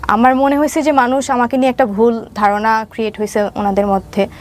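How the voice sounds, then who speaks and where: High-voiced young Bangladeshi woman telling her story. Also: steady tone, brisk, a little hiss or hum. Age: young adult. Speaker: interview guest. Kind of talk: personal storytelling in an interview.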